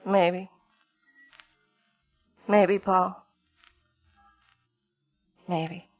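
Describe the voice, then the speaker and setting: Casting: world-weary young woman, low-pitched, wry and wounded, old radio drama with music underneath. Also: steady tone, extremely slow, lo-fi sound. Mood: pleading, wistful. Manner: wry, bitter, vulnerable. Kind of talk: 1950s radio drama acting.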